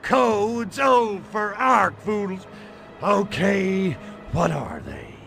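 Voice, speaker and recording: A wisecracking alien invader for a video game. The voice is bright and swings widely in pitch, full of impatient, sarcastic swagger. Music plays underneath. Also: male voice, big pitch swings, drawn-out words, gravelly, exaggerated voice. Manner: sarcastic, swaggering, slangy delivery. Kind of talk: video game cutscene voice acting.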